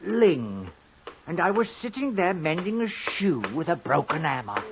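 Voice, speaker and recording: An actor playing a wily condemned thief, wheedling and scheming in a raspy voice, in a 1950s radio drama. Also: male voice, on the high side, sing-song, a little slow, background noise. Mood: eager pleading. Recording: muffled vintage 1950s radio recording.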